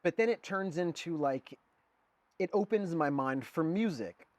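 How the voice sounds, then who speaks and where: A man's voice: a little high, lively intonation, a little slow, darkish tone, no room sound. A man in his forties who grew up in Germany, a guest artist talking casually and rambling, with lots of fillers and "dude". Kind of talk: casual conversation.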